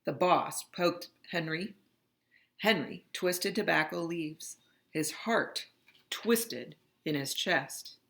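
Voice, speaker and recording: A woman reading a children's picture book aloud in an expressive, dramatic narrating style. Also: on the low side, slow pace, creaky. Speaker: storybook reader.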